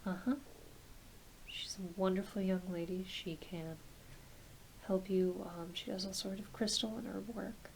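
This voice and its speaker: A woman playing a gentle, reassuring doctor in an ASMR roleplay, speaking softly and hesitantly, often dropping to a whisper. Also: medium pitch, fairly even intonation, vocal fry, low-quality recording. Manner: hesitant, unhurried, apologetic and reassuring. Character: gentle, reassuring female doctor examining a werewolf patient. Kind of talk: soft-spoken ASMR medical roleplay. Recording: constant soft background hiss.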